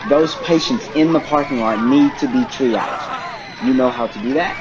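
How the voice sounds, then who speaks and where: A man's voice: medium pitch, unhurried, natural voice. Man acting busy doctor amid crowd noise. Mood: urgent. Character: busy emergency doctor directing triage. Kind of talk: audio drama acting. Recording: dull sound, noisy crowd outdoors.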